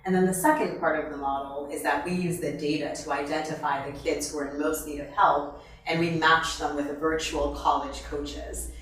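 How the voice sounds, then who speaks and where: A woman in her thirties from Haiti, a nonprofit founder answering interview questions in an earnest, measured way with fillers and restarts. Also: deep voice, fairly even intonation, fairly bright tone. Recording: roomy, from far off.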